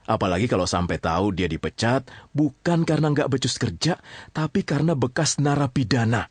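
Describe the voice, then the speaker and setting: A man's voice: mid-range voice, lively intonation, a little fast, a little hiss or hum. A man with an Indonesian accent telling a story informally, often checking in with his listeners. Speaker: storyteller narrator addressing listeners.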